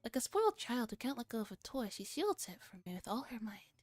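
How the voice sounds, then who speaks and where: Self-important, exasperated young man read by a woman, visual novel narration, soft mellow tone, dry close sound. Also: fairly high pitch, sing-song, quick pace, dry recording, faint music behind.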